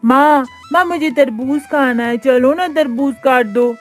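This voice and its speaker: A woman puts on a sweet little girl for a cartoon story. The voice is high, childlike and eager, with music behind it. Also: unhurried. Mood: impatient.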